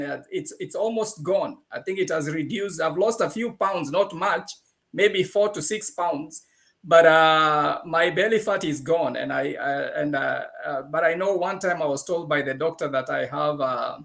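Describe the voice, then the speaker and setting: Gravelly Kenyan man, halting. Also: on the high side, a bit bright. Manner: halting, many fillers, laughs often. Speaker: guest giving a testimonial. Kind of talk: casual personal story. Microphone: video call.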